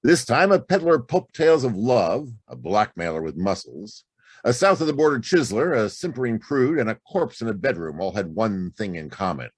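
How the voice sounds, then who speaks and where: A man's voice: on the low side, sing-song, moderate pace, husky, no room sound. A man playing a wry, slangy hard-boiled private detective in an audio drama, with a dry manner. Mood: grave, wry. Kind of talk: hard-boiled radio drama acting with narration.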